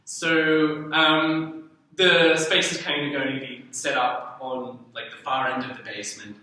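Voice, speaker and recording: A young man with an Australian accent talks casually as an interview guest, meandering and a little self-deprecating. His voice is bright and forward, though it sits away from the microphone in a heavily reverberant room. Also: mid-range voice, little pitch movement, measured pace. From Darwin, Australia. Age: young adult. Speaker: artist and writer being interviewed. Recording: very echoey room, distant mic.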